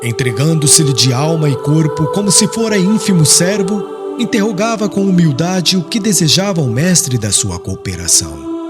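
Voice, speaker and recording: Solemn male narrator with lively pitch over music. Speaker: audiobook narrator. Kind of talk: scripted literary narration of a religious story.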